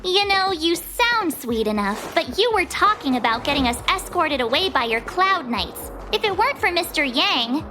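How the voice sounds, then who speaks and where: A woman's voice: high-pitched, very animated, moderate pace, hardly any pauses. A woman playing a perky, cheeky teenage girl adventurer in a video game, with a playful, blunt and informal manner. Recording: faint music behind the voice.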